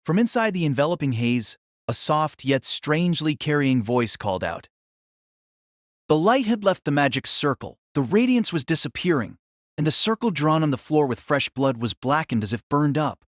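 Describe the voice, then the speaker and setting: A man's voice: on the high side, sing-song, normal speed, no room sound, studio quality. A man narrating a scripted story in a dramatic audiobook style.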